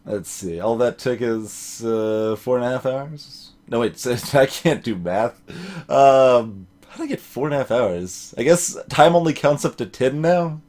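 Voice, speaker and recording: A man's voice: medium pitch, lively intonation, a little slow, a little mellow. A man giving casual let's play commentary, rambling and musing to himself as he goes.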